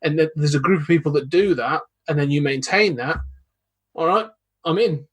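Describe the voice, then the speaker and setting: Husky man, chatty podcaster. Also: on the high side, normal speed. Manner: rambling, animated, many restarts. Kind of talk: casual podcast chat.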